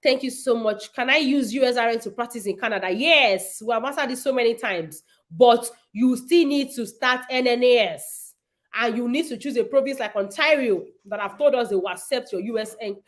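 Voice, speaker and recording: A woman's voice: on the high side, normal speed. A woman, hosting a casual livestream and answering viewers' nursing questions in an emphatic, repetitive way.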